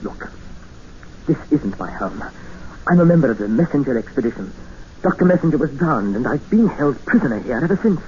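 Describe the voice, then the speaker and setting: An actor playing an educated English captive, narrating and speaking in an earnest, formal, dramatic 1950s radio style. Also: male voice, mid-range voice, very animated, brisk, a little mellow, a little hiss or hum, close to an everyday voice. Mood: urgent pleading. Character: desperate, educated male English captive expedition member, narrating. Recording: muffled old radio recording with music.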